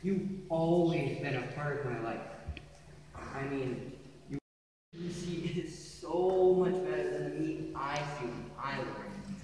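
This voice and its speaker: A man's voice: a little high, a little mellow. A young man acting a wistful, earnest younger brother in a school stage play, saying goodbye to his sister. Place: school theatre, stage performance. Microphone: distant audience camera. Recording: echoey hall from far off, faint noise.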